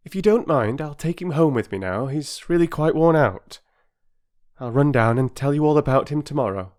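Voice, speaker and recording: A man voicing a kind middle-aged mother who speaks brightly and reassuringly in a storybook reading. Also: on the high side, expressive, moderate pace, pitched above the performer's own voice, natural voice.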